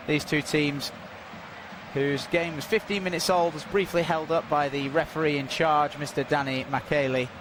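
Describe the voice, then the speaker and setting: A man giving unofficial live commentary on a football match, calmly filling a stoppage with updates and statistics. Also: on the high side, noisy background. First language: not Dutch. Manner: measured, informative, filling time with stats and updates.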